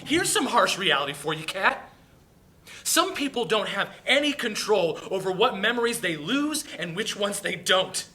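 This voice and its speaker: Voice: mid-range voice, big pitch swings, moderate pace, sharp tone. A performer playing a hurt, blunt, protective young brother in a dramatic play reading. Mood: angry, exasperated.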